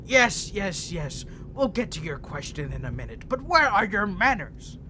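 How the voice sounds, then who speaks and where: An insane, centuries-old male vampire prisoner toys with his listener in an audio roleplay. His voice sits fairly high and swoops theatrically from low murmurs to loud outbursts. He draws his words out slowly, playful and menacing, over a noisy vehicle rumble. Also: very animated. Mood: excited, taunting. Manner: taunting, teasing, theatrical, giggling, drawn-out delivery. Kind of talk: theatrical ASMR roleplay monologue.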